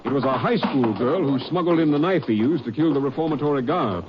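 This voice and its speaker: An actor playing a stern, earnest American Texas Rangers captain in an old radio drama. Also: male voice, mid-range voice, fast talker, background noise, close to an everyday voice. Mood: grave, earnest. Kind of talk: 1950s radio drama acting. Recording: muffled old radio recording.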